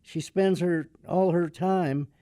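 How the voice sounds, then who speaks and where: A soft-hearted debt collector in a radio play, acted by a man, reluctant and polite. His voice is mellow and fairly high, dry and close, with slow, hesitant, earnest phrasing. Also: fairly even intonation, no echo. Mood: pleading. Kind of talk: radio drama acting.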